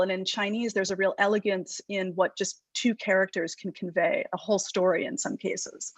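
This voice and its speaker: A woman interviewing in a conversational style, articulate and measured as she builds out her thoughts. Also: mid-range voice, fairly bright tone, no echo. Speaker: interviewer, moderator.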